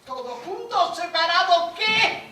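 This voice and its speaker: An actress playing a chirpy radio announcer with a sing-song delivery on stage. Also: female voice, very high voice, animated, unhurried, sharp tone, slight background noise, full character voice. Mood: announcing. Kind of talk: comic stage acting as a 1950s radio announcer. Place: theatre stage. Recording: echoey stage sound from far off.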